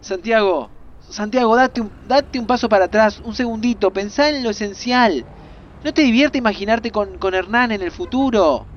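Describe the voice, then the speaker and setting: An actor playing a reassuring, gently teasing best friend with a Buenos Aires accent, insistent and interjecting in audio drama dialogue. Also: male voice, high-pitched, moderate pace, dull audio, a little hiss or hum, lightly acted. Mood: concerned, coaxing. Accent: Argentine, Buenos Aires.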